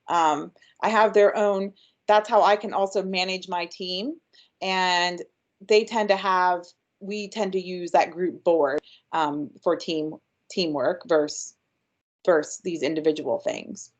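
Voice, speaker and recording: A woman's voice: medium pitch, lo-fi sound. A woman giving an upbeat, chatty webinar presentation with frequent ums. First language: English. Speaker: workshop presenter.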